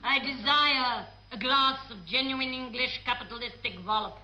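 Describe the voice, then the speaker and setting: A woman's voice: high voice, moderate pace, bright, forceful tone. A woman playing a pompous, blunt Soviet culture minister, in caricatured radio comedy style. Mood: haughty. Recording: echoey old radio recording, faint noise.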